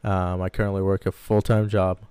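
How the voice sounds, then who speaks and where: Smooth, low-voiced young man chatting casually. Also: flat delivery, brisk, warm tone, dry recording. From Baghdad, Iraq. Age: 20s. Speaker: podcast co-host. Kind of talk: casual personal storytelling.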